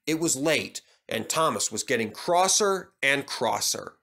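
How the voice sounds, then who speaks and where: A man's voice: medium pitch, lively intonation, a little slow, gravelly, pushed and bright. A man reading a scripted children's story as its storyteller.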